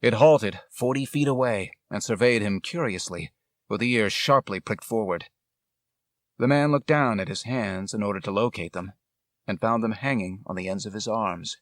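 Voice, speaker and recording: A man reading a literary story as an audiobook narrator, with measured, dramatic, deliberate phrasing. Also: lowish voice, no room sound, clean studio sound.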